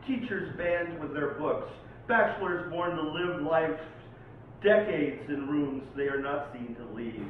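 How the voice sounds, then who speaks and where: A man who is a poet, performing at a live reading in a theatrical, dramatic manner. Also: on the high side. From Oregon, United States. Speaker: poet reading own poems. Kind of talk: live poetry reading with informal introductions. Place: live poetry night venue, recorded from a distance. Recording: tinny, very echoey, distant, faint noise.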